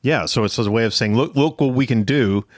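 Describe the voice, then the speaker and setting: A man's voice: medium pitch, a little fast, dry recording, studio quality. A middle-aged or older man hosting a conversational interview podcast. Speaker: podcast host.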